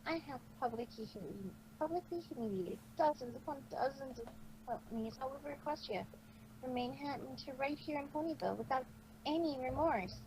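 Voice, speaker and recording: A woman voicing a proud but guilt-ridden female cartoon pony, brooding and halting as she questions herself, in an amateur story reading. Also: high voice, expressive. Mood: conflicted, guilty. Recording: noisy amateur microphone recording.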